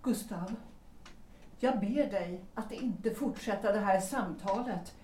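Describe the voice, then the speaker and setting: A weary, resolute wife acted by a woman in a theatrical period audio drama. Her mid-range voice is soft and dark in tone, pleading earnestly with restraint. The sound is roomy and somewhat distant. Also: medium pitch, a little hiss or hum, natural voice. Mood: pleading, firm.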